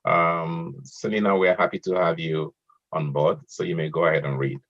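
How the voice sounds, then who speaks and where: A man moderating an online poetry event, reading a poem aloud in a measured way and hesitating with fillers between speakers. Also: deep voice, flat delivery, a bit bright. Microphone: online video call audio. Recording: muffled lo-fi call audio.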